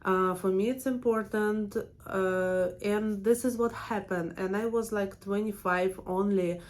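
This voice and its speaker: A woman's voice: mid-range voice, steady tone, unhurried. Russian-accented woman in her thirties, chatty vlogger. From Russia, Siberia. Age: 30s. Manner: chatty and warm, laughs often, many fillers. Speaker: permanent makeup trainer and channel host. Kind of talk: casual personal vlog talk.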